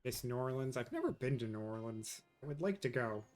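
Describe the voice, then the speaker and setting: A man's voice: medium pitch, expressive, brisk, darkish tone. A man from New York chatting casually with his viewers while streaming a game, answering chat as he plays. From New York, USA. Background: faint game music.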